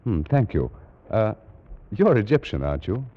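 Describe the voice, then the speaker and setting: An actor playing a scholarly British Egyptologist, formally recounting an eerie adventure in a 1940s radio drama. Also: male voice, fairly low pitch, sing-song, normal speed, husky. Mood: polite, curious. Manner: formal, precise, dramatic storytelling. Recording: muffled, noisy vintage radio recording.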